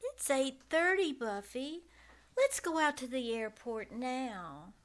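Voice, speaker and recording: Woman as little girl, radio play: very high voice, slow delivery, eager yet worried. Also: big pitch swings, full character voice. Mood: worried, pleading. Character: eager, anxious little girl waiting for her parents. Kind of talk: old-time radio drama acting, live on stage.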